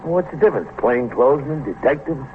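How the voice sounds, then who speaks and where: A man's voice: mid-range voice, expressive, a little fast, natural voice. An American man playing a nosy, folksy building superintendent in a vintage radio crime drama, garrulous, hesitant and colloquial. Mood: chatty, nervous. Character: chatty, nosy, folksy married building superintendent, eager to help. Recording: noisy, narrow-band old radio recording.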